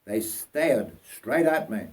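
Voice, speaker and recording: An older man from North Yorkshire, England, reading his own life story aloud in a deliberate, dramatic way. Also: medium pitch, sing-song, darkish tone. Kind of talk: autobiographical story narration.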